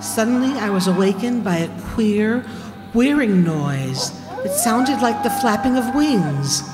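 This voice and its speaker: A middle-aged woman playing a frightened woman in an old-time radio horror drama, dramatic and halting. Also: a little high, lively intonation. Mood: uneasy, hushed. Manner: dramatic, halting, dread-filled. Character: frightened woman recounting an eerie night terror. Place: seated on stage in a community hall. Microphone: boom stand microphone. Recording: echoey hall, loud music behind.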